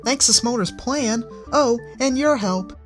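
A reader voicing a bubbly, excitable young female seapony creature in a storybook reading. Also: medium pitch, a little slow, soft background music, pitched above the performer's own voice, close to an everyday voice. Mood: giddy. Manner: giddy, excitable.

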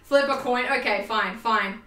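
A young Australian woman chatting to her livestream viewers, animated and self-mocking, laughing often. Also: on the high side, unhurried. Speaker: livestream host. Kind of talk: casual livestream chat. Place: home sewing room. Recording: roomy, distant, faint music behind.

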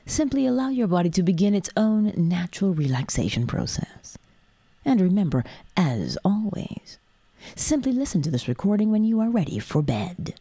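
A woman guiding a sleep meditation in a soothing, breathy voice that often drops near a whisper, with unhurried pauses between short instructions. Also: medium pitch, sing-song, mellow, no echo. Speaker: guided meditation host.

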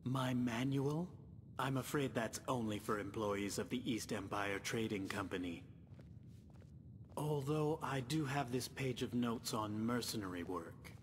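A man's voice: unhurried, natural voice. A man voicing an officious, by-the-book company clerk in a video game, with a formal, fussy manner. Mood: reluctant, polite. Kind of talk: video game character dialogue. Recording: long reverb, faint rumbling noise.

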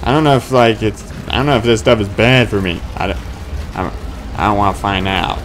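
A man's voice: fairly low pitch, fairly quick, over music. A man in his twenties giving casual gameplay commentary, muttering to himself and exclaiming in bursts. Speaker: let's play host. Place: small dim room at home.

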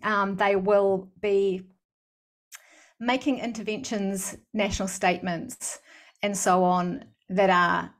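Female, middle-aged, New Zealand accent; interview guest, explanatory, measured phrasing, medium pitch. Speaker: guest expert and campaigner. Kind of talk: explanatory interview answer on a video call. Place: indoor room at home or office, video call. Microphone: desk microphone in front of speaker.

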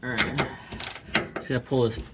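A young man in a garage at night, casually explaining, step by step, how he lowers his car, picked up by a camera mic on the floor. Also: steady tone, moderate pace. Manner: laid-back, laughs easily, explains step by step. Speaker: car vlogger working on his car. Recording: tool clatter and ratchet noise.

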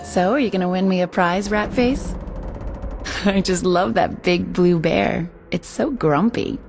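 A woman's voice: lowish voice, a little slow, vocal fry, soft background music. A young woman voicing a playful, teasing, affectionate girlfriend in a video game. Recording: loud ambient noise behind.

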